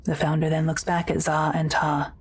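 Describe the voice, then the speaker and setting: A woman's voice: low-pitched, flat delivery, normal speed, noisy background. A woman reading scripted present-tense narration for an audio drama, as the narrator.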